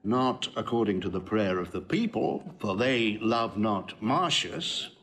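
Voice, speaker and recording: An actor playing a witty, sardonic Roman patrician, teasing with hesitant mock-politeness in Shakespearean comic style. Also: male voice, lowish voice, lively intonation, a little slow, a bit bright, a little hiss or hum. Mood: wry. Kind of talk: classical Shakespearean comic acting.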